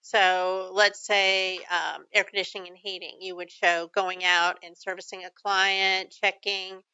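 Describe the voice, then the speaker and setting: A bright, smooth-voiced woman with a Texan accent, hosting a radio interview. She steers the talk with leading questions and quick affirmations, and the studio sound is dry and a little dull. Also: medium pitch, level tone, no room sound. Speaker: radio host.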